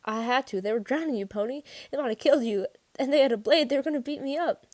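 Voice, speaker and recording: A woman's voice: lowish voice, steady tone, fast, mellow, no echo, natural voice. Woman reading as a tense, earnest teenage boy. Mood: shaken, defensive. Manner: terse, earnest. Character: quiet, tense teenage boy, steady but rattled. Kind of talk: audiobook-style character dialogue.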